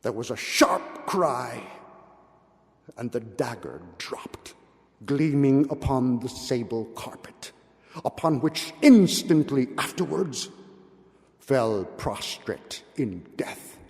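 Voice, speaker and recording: A man giving a dramatic recitation of a gothic story, theatrical in delivery, moving between hushed and forceful declamation. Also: mid-range voice, lively intonation, takes it slowly, gravelly. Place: large hall at a public meeting. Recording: live hall with long reverb.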